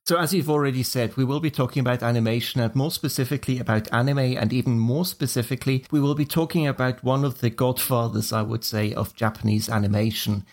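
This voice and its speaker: A man from Switzerland hosting a film podcast, leading the talk in a measured, organized way. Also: mid-range voice, steady tone, darkish tone. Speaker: podcast host. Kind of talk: casual podcast chat.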